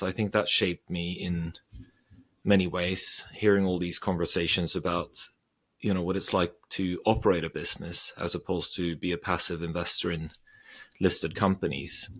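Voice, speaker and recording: A low-pitched man in his forties from Sweden, speaking as a guest in an interview. He answers slowly and thoughtfully, often restarting his sentences. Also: fairly even intonation, fairly bright tone. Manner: thoughtful, hesitant, many restarts. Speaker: investor guest.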